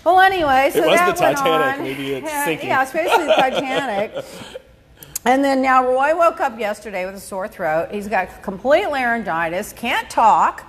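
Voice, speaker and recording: A woman's voice: high voice, a little fast. A middle-aged woman hosting a podcast at a desk mic in her home studio, chatting animatedly, gossiping and laughing as she answers listener questions. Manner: animated, gossipy, laughs often, acts out voices. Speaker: podcast host. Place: home studio office, indoors. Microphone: desk mic on stand.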